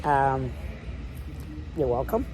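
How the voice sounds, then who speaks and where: A man co-hosting a podcast, hoarse from losing his voice, joking and agreeing a lot in a casual sports recap. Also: mid-range voice, expressive, drawn-out words, darkish tone, a little hiss or hum. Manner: jokey, many short agreements. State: hoarse, says he lost his voice. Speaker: podcast co-host.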